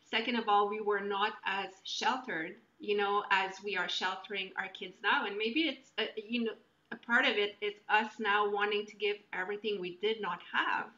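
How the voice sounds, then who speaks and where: A woman's voice: mid-range voice, fairly even intonation, normal speed, sharp tone. A middle-aged woman chatting casually as a podcast co-host from a room on a video call. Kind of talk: casual conversation. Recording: muffled, roomy video-call sound.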